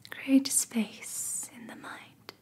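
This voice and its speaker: A woman guiding a meditation in soothing ASMR style, in a soft, breathy whisper with repeated phrases and long pauses. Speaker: ASMR reiki practitioner and meditation guide.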